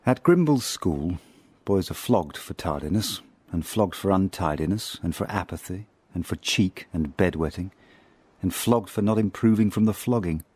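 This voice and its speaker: Radio drama narrator: wry, haunted male English spy, low-pitched, close and dry, ironic, literary delivery. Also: animated, moderate pace, darkish tone, husky, no room sound. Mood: bitter irony.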